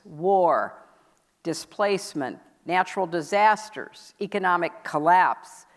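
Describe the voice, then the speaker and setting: A woman hosting a conference session, giving a public speech in a measured, deliberate and emphatic way. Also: lowish voice. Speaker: host presenting a commitment at a conference session. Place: large indoor event hall. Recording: echoey hall, long reverb.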